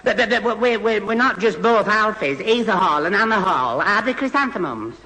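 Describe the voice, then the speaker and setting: A man's voice: sits high, lively intonation, moderate pace, sharp tone, big-room sound, slight background noise, low-quality recording. An actor playing a flustered, gormless, eager young man with a Northern English accent, stammering and muddling his words in a 1970s radio comedy. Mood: nervous, muddled.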